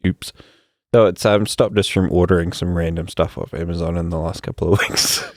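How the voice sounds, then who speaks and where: A man's voice: sits low, steady tone, moderate pace. A man co-hosting a tech podcast, talking in an easygoing, casual way. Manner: easygoing, brief agreeing replies. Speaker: podcast co-host.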